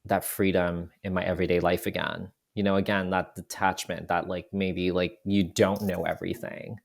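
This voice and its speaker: A man with a very low, gravelly voice settles into a reflective podcast chat. His first language is Cantonese, and his thoughts come out with searching pauses and small restarts. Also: level tone. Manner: thoughtful, frequent restarts and "you know". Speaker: podcast guest, photographer. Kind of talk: reflective casual conversation.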